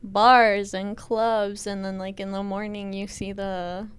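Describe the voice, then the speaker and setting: A woman's voice: mid-range voice, takes it slowly, clean tone. A young woman who grew up near the border, answering interview questions casually with many fillers. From Tijuana area. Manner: relaxed, filler-heavy, laughs easily.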